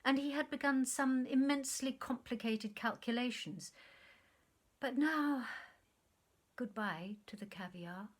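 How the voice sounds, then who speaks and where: A woman actor narrating a short story in an expressive, dramatic way, often dropping to a hushed, breathy tone. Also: high voice, measured pace. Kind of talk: literary audiobook narration.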